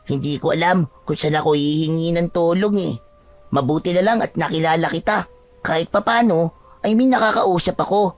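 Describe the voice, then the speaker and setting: A woman's voice: lowish voice, normal speed, close to an everyday voice. A woman voicing an earnest, secretive young boy in a dramatized story. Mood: earnest, guarded. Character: young boy, secretive, earnest friend who warns of curses.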